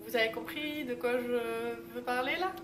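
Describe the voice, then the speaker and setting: A young woman chats in a loose, filler-heavy vlog style, her voice a little high. She sounds some way off the mic in an echoey room, with clear background noise. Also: steady tone, moderate pace. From Belgium. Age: 20s. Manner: chatty, lots of fillers. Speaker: YouTuber. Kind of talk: casual vlog chat. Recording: roomy echo, distant mic, noisy.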